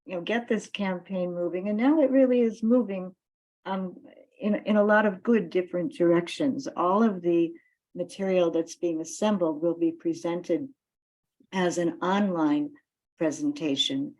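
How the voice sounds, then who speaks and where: A woman activist giving an informal campaign talk, speaking in deliberate, halting phrases with emphatic stresses on key words. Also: fairly low pitch, darkish tone, low-quality recording.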